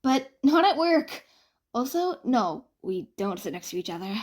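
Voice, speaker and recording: A woman voicing a sociable, easily exasperated young college woman, with an expressive, bantering delivery. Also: fairly high pitch, unhurried. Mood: defensive.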